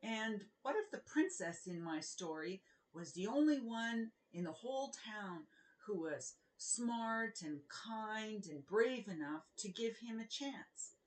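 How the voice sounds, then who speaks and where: A middle-aged woman, a children's author, speaking in a deliberate, expressive read-aloud style that leans on key words. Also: mid-range voice.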